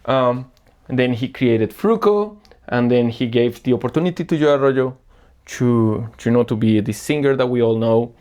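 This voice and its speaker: A man from Colombia, a guest record selector chatting casually and earnestly about records, often pausing to search for words. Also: medium pitch, darkish tone. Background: faint music playing.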